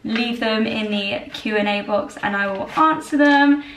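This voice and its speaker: A young woman in her twenties, tired and a little croaky, chatting in a bubbly way to her camera while she bakes in her home kitchen. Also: fairly high pitch, unhurried, a bit bright, slight background noise. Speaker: vlogger. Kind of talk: casual chat. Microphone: far camera. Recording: echoey kitchen from far off.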